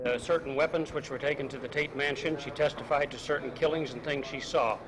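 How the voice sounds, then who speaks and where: A man's voice: medium pitch, steady tone, a little fast. An American man working as a news reporter, putting questions to lawyers in a press interview. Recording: noisy archival newsreel field sound.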